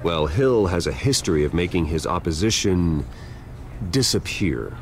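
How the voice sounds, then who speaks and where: Low-voiced, guarded rich American businessman. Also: expressive, normal speed, slight background noise. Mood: tense, guarded. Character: wealthy, guarded businessman defending his family's name. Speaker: video game voice actor. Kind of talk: dramatic game dialogue.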